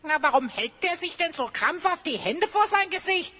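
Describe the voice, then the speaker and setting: A pert, sharp-tongued adventurer's companion in a fantasy audio drama. The voice is extremely high and piercingly bright, with theatrical delivery. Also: female voice, expressive, normal speed, heavily acted. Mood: mocking. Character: sharp-tongued, mocking female companion of the heroes. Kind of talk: German fantasy audio play acting.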